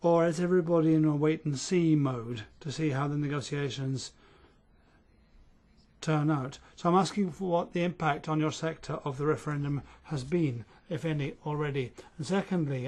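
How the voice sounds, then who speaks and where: A man interpreting at a parliament committee hearing, speaking in a steady, measured way with an uneven pace. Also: fairly high pitch.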